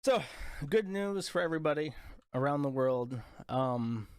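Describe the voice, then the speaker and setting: A man's voice: fairly high pitch, lively intonation, measured pace, clear, resonant tone, no echo, clean studio sound. A young man hosting a casual livestream, rambling, crude and sarcastic as he talks to chat. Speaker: livestream host.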